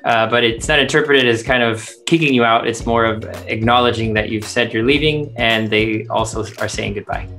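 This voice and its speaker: A man's voice: medium pitch, normal speed, few pauses, pushed and bright. A man teaching in a scripted language lesson, explaining words and their meanings step by step. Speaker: teacher, translator. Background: music.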